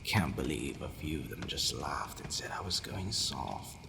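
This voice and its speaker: A man acting a haughty but softening vampire lord in an ASMR audio roleplay, brooding, formal and given to hesitant sighing. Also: very deep voice, lively intonation, a little slow, vocal fry, exaggerated voice. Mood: brooding, bemused. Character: possessive male vampire lord, haughty yet secretly softening. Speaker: roleplay voice actor. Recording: long reverb, faint background noise.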